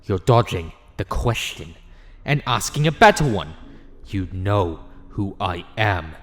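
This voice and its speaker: A man's voice: deep voice, very animated, takes it slowly, fairly bright tone, long reverb tail, exaggerated voice. A man voicing a stern, disembodied voice in someone's head for an audio drama, cold, logical and accusing. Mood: challenging.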